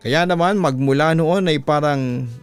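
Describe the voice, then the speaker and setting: Smooth-voiced Filipino man telling horror stories. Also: fairly high pitch, measured pace. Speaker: radio announcer and horror story narrator. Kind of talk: dramatic reading of a listener's letter. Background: music bed.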